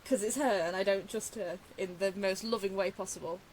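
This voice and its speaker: A woman's voice: medium pitch, steady tone, brisk, darkish tone, poor audio. A woman giving casual, chatty, rambling reaction commentary as she plays a video game. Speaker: game streamer. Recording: faint background hiss.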